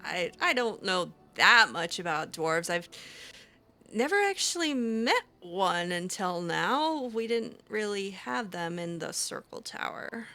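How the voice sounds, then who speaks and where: A woman's voice: medium pitch, expressive, slow pace, sharp tone. A woman improvising conversational dialogue as she roleplays through a video game, chatty and a little hesitant. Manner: hesitant, chatty, reacting with short interjections. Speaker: roleplay gamer, channel host.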